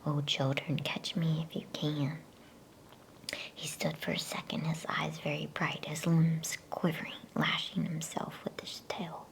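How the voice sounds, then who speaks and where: A woman whispering an ASMR bedtime story in a hushed, soothing, unhurried way. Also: sits low, creaky, rough recording. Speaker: ASMR storyteller and channel host. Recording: faint background noise.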